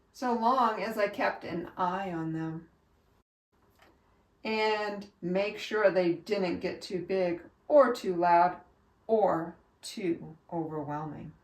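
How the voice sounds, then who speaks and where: A woman reading a children's storybook aloud like a teacher, with expressive, emphatic and instructive delivery. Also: mid-range voice. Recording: echoey room, distant from mic.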